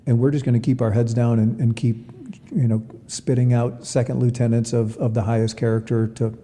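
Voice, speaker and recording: A man's voice: medium pitch, level tone, fairly quick, mellow. A man giving conversational interview answers as an athletic director, often repeating words as he explains. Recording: long reverberant room sound.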